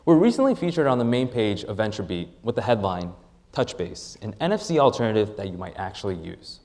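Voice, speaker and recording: A man presenting a rehearsed product pitch as a startup presenter, with deliberate, emphatic phrasing. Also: sing-song, darkish tone.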